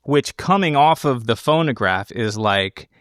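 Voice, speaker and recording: A man's voice: mid-range voice, animated, unhurried, fairly bright tone, no room sound, clean studio sound. A man hosting a film podcast, explaining his take in casual, think-aloud analysis. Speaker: podcast host.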